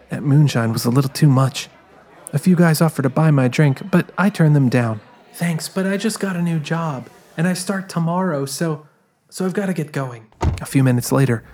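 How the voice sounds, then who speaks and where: A man's voice: mid-range voice, darkish tone, close to an everyday voice. A man voicing a rueful, determined young woman who narrates her story in a confiding way. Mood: politely declining. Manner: musing, confiding. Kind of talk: first-person dramatized scripted narration.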